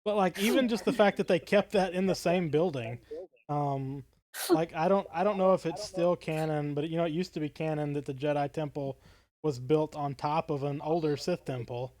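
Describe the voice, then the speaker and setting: Guy co-hosting a podcast, voice a bit high, casual fan chat, dry sound no room. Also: moderate pace, a little mellow, no echo. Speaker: podcast co-host.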